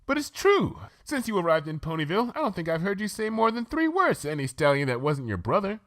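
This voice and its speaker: A man's voice: high-pitched, very animated, quick pace, a bit bright, dry recording, studio quality, voice pushed up. A man voicing a refined, dramatic young female unicorn who loves gossip, in an affected, genteel manner for a fan story reading. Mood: gushing, teasing.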